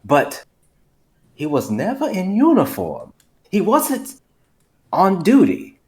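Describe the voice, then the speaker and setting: A suspicious, opinionated male store cashier, acted by a man in a script reading. His voice sits fairly high and moves up and down a lot. He sounds insistent, dramatic and gossipy. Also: wildly swooping pitch, slow, full character voice. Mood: confiding.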